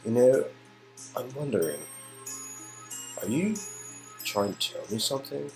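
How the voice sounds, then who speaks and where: A man's voice: medium pitch, expressive, unhurried, music in the background, voice pushed up, poor audio. A man playing an awkward lover in a romance game, nervous, jokey and rambling. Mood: teasing.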